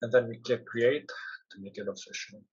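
A man presenting a product demo, giving step-by-step instructions in a software tutorial. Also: mid-range voice, steady tone, moderate pace, slightly rough, dull audio. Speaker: product demonstrator.

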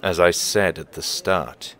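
Storyteller, male actor: low register, unhurried, wise and enigmatic, old-fashioned formality for a Christmas audio drama. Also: sharp tone, full character voice. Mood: gentle, solemn. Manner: measured, portentous, old-fashioned formal phrasing.

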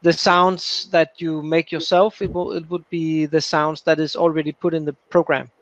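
A man's voice: on the high side, level tone, moderate pace. Hesitant man on a dull call line. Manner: hesitant, many uh fillers. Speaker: co-presenter. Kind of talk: casual remarks on a call. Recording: dull dry call audio.

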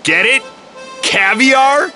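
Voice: high voice, animated, slow, sharp tone, soft background music, full character voice. An actor voicing a friendly, goofy and playful talking pet dog in a children's cartoon.

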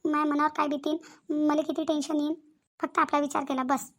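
A woman's voice: high-pitched, level tone, a little fast, a bit bright. A woman voicing a hurt young wife in a melodramatic village cartoon, plaintive and accusing, with a Varhadi Marathi accent. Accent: Varhadi Marathi (Vidarbha).

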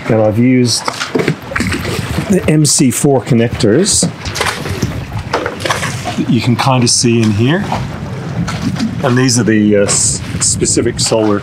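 A man's voice: mid-range voice, very noisy. A man in his fifties explaining, step by step, how he installed his catamaran's solar panels, speaking outdoors on deck. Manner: practical, step-by-step, with filler pauses. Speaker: boat owner and channel host.

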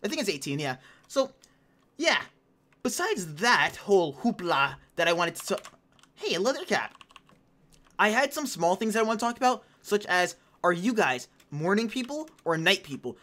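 A young woman in her late teens talks her way through a game with a chatty, excitable, rambling energy. Her voice sits at an ordinary middle pitch and carries a slight hoarseness. She sounds like a casual let's-play host chatting to her viewers. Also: moderate pace. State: a little hoarse. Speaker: gaming channel host. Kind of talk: casual gameplay commentary.

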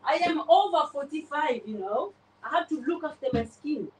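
A woman's voice: sits high, lively intonation, moderate pace, a little mellow, slight background noise, poor audio. A woman in her forties chatting casually on a livestream while she cleans her oven, greeting viewers by name. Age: 40s. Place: home kitchen, indoors. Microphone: phone camera pointed at the oven.

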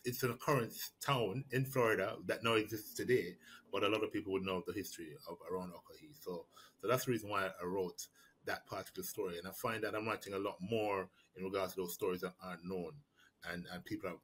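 A man's voice: low-pitched, fairly bright tone, a little hiss or hum, poor audio. A man, answering questions about his writing over a video call, thoughtful and prone to restarting his sentences. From Jamaica. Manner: reflective, frequent restarts and repeated words. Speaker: prize-winning writer answering questions. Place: small room indoors. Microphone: webcam or laptop mic on a video call.

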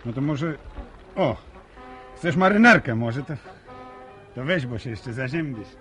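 Radio drama casting: bashful young farmer, mid-pitched, animated, halting, rustic. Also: male voice, faint music behind. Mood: bashful, coaxing. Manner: hesitant, stammering, colloquial rural speech. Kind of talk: naturalistic Polish radio drama acting. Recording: radio drama with faint background noise.

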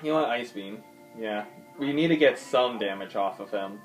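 A man's voice: on the low side, moderate pace. A man giving casual, swearing gameplay commentary, thinking aloud with lots of ums as he plays. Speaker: gaming YouTuber. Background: faint game music.

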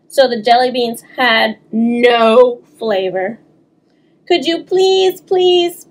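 A woman voicing an earnest, dramatic little girl from a storybook, expressive and pleading, with drawn-out words. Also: high voice. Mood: let down.

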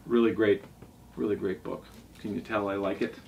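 A middle-aged man with a fairly deep voice hosts a casual vlog from a table in a small room. He rambles along with enthusiasm. The mic sits well away from him and picks up some background noise. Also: level tone, moderate pace, vocal fry, darkish tone. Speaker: sailing vlog host. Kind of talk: casual talk reviewing favourite books. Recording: distant mic, noisy room ambience.

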